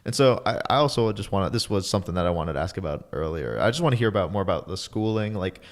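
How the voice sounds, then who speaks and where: A man's voice: low-pitched, lively intonation, fast talker. A man hosts a casual podcast. He asks his questions loosely and conversationally. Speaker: podcast host.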